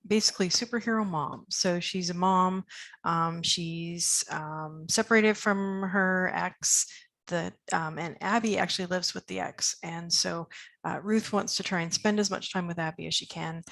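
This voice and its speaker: A woman who writes fiction, explaining casually as a podcast guest, in a halting, hesitant way. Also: on the low side, gravelly, a bit bright.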